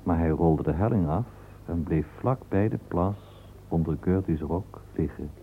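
A man's voice: low-pitched, normal speed. A man narrating a literary radio play. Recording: muffled phone-like old radio recording, hissy.

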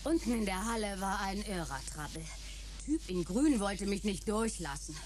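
A woman's voice: mid-range voice, animated, moderate pace, a little mellow, a little hiss or hum, lightly acted. Actress as plucky, streetwise young woman. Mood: breezy, matter-of-fact. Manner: casual, slangy. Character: plucky, cool, streetwise young female friend of the detective. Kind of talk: radio drama dialogue.

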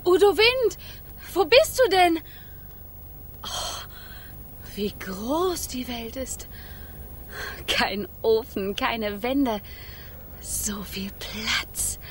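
A woman's voice: sits high, very animated, extremely slow, pauses often, fairly bright tone, slight background noise, heavily acted. A woman voicing an eager, defiant young fire sprite in a children's radio play, exclaiming and commanding in a sing-song manner. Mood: amazed.

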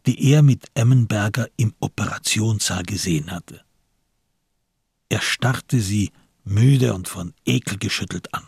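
A man's voice: mid-range voice, darkish tone, husky, no echo, clean studio sound. A man narrating a radio crime drama in scripted, literary prose.

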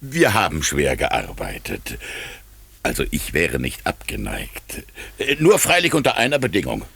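An actor playing a ponderous, self-important official in a 1950s radio play, with a gruff, rasping voice. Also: male voice, very deep voice, wildly swooping pitch, a little slow, a bit bright, no echo, heavily acted. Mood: ponderous, conceding. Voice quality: gravelly, rasping.

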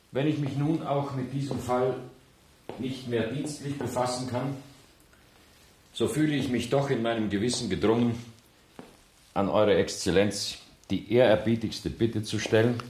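An actor playing a grave, conscientious senior judge in a radio play, speaking formally in a measured, earnest way. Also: male voice, medium pitch, a little mellow, natural voice. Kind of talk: radio play acting in standard German.

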